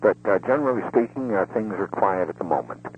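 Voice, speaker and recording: A man's voice: lowish voice, lively intonation, fast talker, breathy edge. A man with an American accent giving a casual, rambling live radio report on the police and fire beat, full of hesitations. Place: out in the field, by radio link. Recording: noisy muffled two-way radio link, hum.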